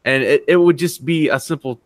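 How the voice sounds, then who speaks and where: A man's voice: a little high, brisk, dry recording, studio quality. A man chatting casually in a brainstorming session, hesitant and restating his points as he goes. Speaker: co-producer who makes music.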